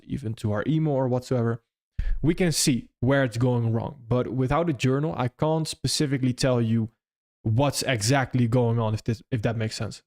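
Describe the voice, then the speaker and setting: A man's voice: medium pitch, darkish tone. A young man, not a native English speaker, hosting a live stream, reading viewer questions aloud and answering in a loose, conversational way with many restarts. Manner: loose and conversational, frequent restarts and "if that makes sense". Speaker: trading stream host.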